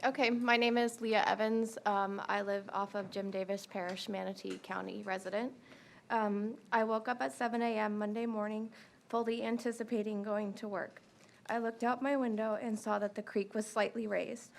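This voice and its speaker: Gravelly-voiced woman giving steady testimony. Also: medium pitch, moderate pace. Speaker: resident giving public comment. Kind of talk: prepared personal testimony. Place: county commission meeting room.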